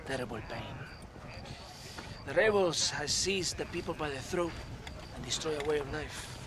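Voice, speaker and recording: An actor voicing a sly, theatrical Mexican army captain with a Mexican Spanish accent, who swings between mocking laughter and hushed, mournful confiding. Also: male voice, fairly high pitch, lively intonation, normal speed, natural voice. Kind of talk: video game cutscene acting. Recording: noisy outdoor game soundscape.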